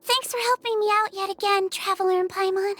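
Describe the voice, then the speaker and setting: A woman voicing a calm, caring young head nurse from a small non-human people in a game. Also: extremely high pitch. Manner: soft, caring, measured. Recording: game audio with music behind.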